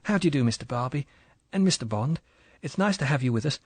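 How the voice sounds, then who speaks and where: A man voicing a young, pretty English wife who talks in a soft, chatty, apologetic way. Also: medium pitch, animated, quick pace, slightly rough, no room sound, voice pushed up. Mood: eager.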